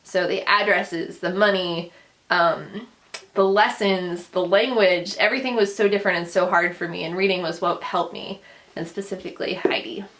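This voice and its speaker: A woman's voice: fairly low pitch, steady tone, normal speed, fairly bright tone, low-quality recording. Earnest young woman vlogger, Dutch speaker. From grew up in the Netherlands, then the United States. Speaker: booktuber, vlog host. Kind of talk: casual personal storytelling to camera.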